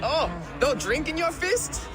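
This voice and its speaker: An actor playing a jovial, pushy young Viking teasing a child at a feast. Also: male voice, extremely high pitch, expressive, unhurried, gravelly, full character voice. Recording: music and crowd behind.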